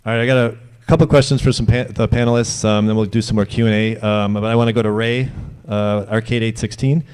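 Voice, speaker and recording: A man moderating a convention panel, asking the panelists questions in a halting way as he searches for words. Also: on the low side, steady tone, darkish tone, clean tone.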